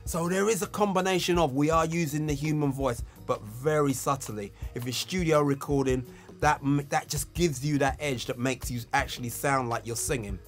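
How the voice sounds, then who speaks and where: Male tutor, mid-range voice, clear step-by-step instruction with stressed key words, some music behind. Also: mid-range voice, moderate pace. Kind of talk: instructional tutorial on talkbox technique.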